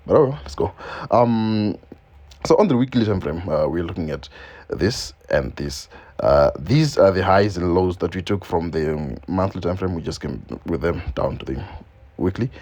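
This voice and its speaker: A man's voice: low-pitched, darkish tone. A man from Zimbabwe, English not his first language, talking loosely through a screen-share trading lesson with many ums and repeated phrases. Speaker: forex trading educator. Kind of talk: screen-share market analysis.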